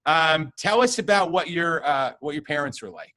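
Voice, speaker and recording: A man's voice: fairly high pitch, lively intonation, normal speed, bright, forceful tone, no echo. A middle-aged man hosting a casual conversation with old friends, often stalling with fillers and restarts. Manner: hesitant, many restarts and fillers. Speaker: host.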